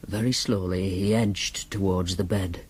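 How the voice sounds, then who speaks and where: A man's voice: low-pitched, fairly even intonation, measured pace, darkish tone, slightly rough. An actor narrating in first person as a young man with a Northern English accent, telling the story in a dry, matter-of-fact way. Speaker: narrator and main character.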